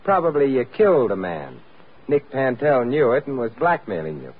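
A man's voice: medium pitch, sing-song, moderate pace, breathy edge. A man playing a suave, wry, confident adventurer-sleuth in 1940s radio style. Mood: cool, taunting. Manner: urbane, wry. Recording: tinny, noisy old radio recording.

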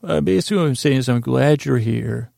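A man hosting a podcast, talking in a meandering, halting way and drifting into a slow, lulling bedtime monologue. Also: mid-range voice, vocal fry, dark tone, no echo, studio quality. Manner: meandering, halting, with restarts and filler words; slow drowsy lulling delivery. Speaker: podcast host.

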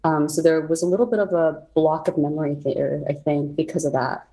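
Young woman guest, low mellow voice, thoughtful, lots of ums. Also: fairly even intonation, a little fast, rough recording. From South Korea. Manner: reflective, many ums and soft laughs. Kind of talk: personal storytelling.

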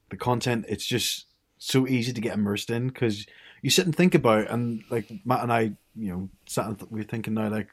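A man's voice: on the low side, slightly rough. A man from Ireland co-hosting a podcast, chatting casually and restarting his sentences. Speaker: podcast co-host. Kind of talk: casual chat.

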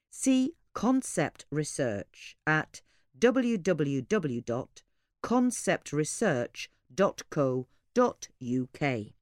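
Female podcast reader, low register with lively intonation, scripted informative delivery, measured and articulate. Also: no echo, studio quality. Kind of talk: scripted informative narration of gardening advice.

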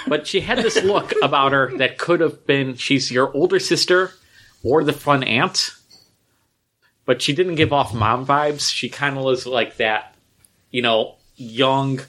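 Podcast host, male, lively and bright, laughs easily mid-story. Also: mid-range voice. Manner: animated storyteller who laughs often. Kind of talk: casual podcast chat.

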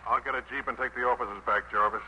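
Commanding American soldier, very fast, piercingly sharp voice, vintage radio drama. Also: male voice, medium pitch, steady tone, muffled recording. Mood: brisk orders. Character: brisk, commanding American army squad leader. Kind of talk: 1950s radio drama acting. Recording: noisy old radio broadcast.

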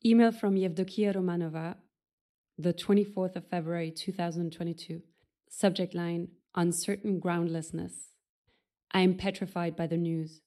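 Dark, lowish female voice reading aloud. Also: fairly even intonation, normal speed.

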